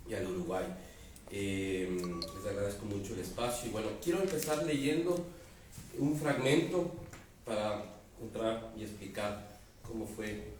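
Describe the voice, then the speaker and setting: A man's voice: on the low side, a little mellow. A man with an Ecuadorian accent reads a passage from his novel at an embassy book presentation, his delivery building in dramatic emphasis. The sound comes from a distance in a very echoey hall. A faint hiss sits underneath. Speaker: author. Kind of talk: book presentation with reading aloud. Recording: echoey room, distant mic, faint noise.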